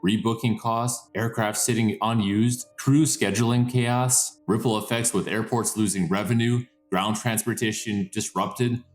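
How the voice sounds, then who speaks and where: Low-voiced emphatic man, roomy sound. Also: steady tone, measured pace, hardly any pauses, soft background music. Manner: emphatic, dramatic, building lists for effect. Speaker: video narrator and commentator. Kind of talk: scripted explainer narration with urgent commentary.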